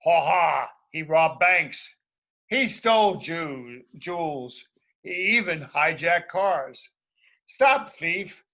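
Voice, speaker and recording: A man reads a children's story aloud with deliberate emphasis. His voice is bright and fairly high, and he takes his time, speaking expressively for young listeners. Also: expressive. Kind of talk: read-aloud story for schoolchildren.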